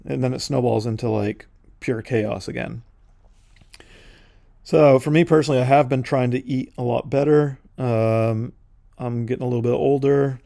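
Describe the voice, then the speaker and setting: Gravelly-voiced man in his forties, rambling. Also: medium pitch, steady tone, moderate pace, dark tone, no room sound, studio quality. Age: 40s. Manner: meandering, many fillers and side notes. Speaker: podcast host painting on camera. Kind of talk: casual rambling monologue.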